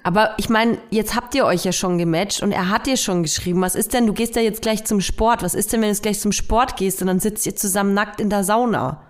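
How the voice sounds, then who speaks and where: Playful young woman, mid-pitched voice. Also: brisk, few pauses. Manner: teasing, playful, with hesitant fillers. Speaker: podcast co-host. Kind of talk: casual chat.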